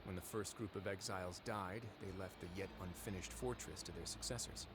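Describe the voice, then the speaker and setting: An actor playing a calm, wry duke in a video game, speaking in a measured, composed way. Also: male voice, low-pitched, background noise. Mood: solemn. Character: calm, wry male duke ruling an underwater prison fortress. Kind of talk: scripted video game dialogue and storytelling.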